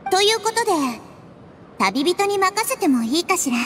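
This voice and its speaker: A woman voicing a small, childlike goddess in a video game, wise and gentle, explaining things calmly and thoughtfully. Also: very high voice, moderate pace, slight background noise, heavily acted. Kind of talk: video game character acting.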